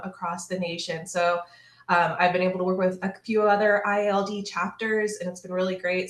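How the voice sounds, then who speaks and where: A person from lighting manufacturer marketing introducing themselves on a video call with many fillers. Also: male voice, high voice, a bit bright, reverberant.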